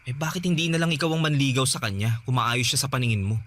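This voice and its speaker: A man's voice: mid-range voice, fast, a bit bright, natural voice. A man playing a resentful, defensive younger brother in a Filipino radio drama, sarcastic and wounded. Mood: irritated, defensive.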